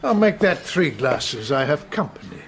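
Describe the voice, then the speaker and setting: An actor playing a suave, unruffled master criminal with cool courtesy in a radio drama. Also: male voice, medium pitch, sing-song, normal speed, gravelly, fairly bright tone, a little hiss or hum.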